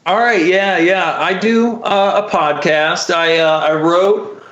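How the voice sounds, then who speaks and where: An American man with a fairly high voice, chatting as an interview guest. He talks casually and haltingly, feeling his way through sentences. The sound is slightly dull, with a lot of room echo around it. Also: a bit bright. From Florida, United States. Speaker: podcast guest, audio drama writer.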